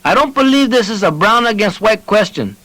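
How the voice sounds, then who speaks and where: Man voicing an earnest, high-pitched, emotional old villager. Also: lively intonation, brisk, pushed and bright, no echo, full character voice, rough recording. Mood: emotional, pleading. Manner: stammering, pleading. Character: emotional old one-handed New Mexico villager, indignant and earnest.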